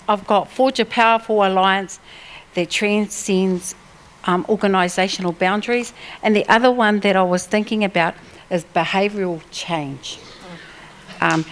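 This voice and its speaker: A woman on a conference panel reads out her points in a mid-range voice. She is measured and steady. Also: mid-range voice. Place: conference room.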